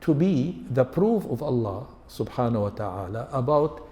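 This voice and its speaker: A man in his seventies from Lebanon, an Arabic speaker, giving a religious lecture in English at a measured pace, stacking lists and rhetorical questions. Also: medium pitch, sing-song, mellow. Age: 70s. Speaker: Islamic scholar and lecturer. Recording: long hall reverb.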